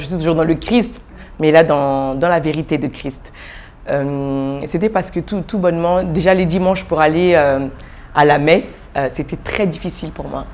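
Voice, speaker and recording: Low-voiced, hesitant woman pastor from Congo. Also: a little hiss or hum. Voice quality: low, soft voice with frequent fillers and restarts. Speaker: pastor, guest. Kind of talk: hesitant interview storytelling.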